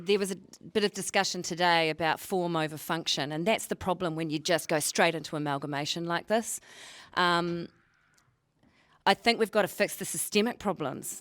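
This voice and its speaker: A woman's voice: fairly low pitch, no room sound. A woman speaking emphatically and argumentatively at a public forum, repeating words for stress.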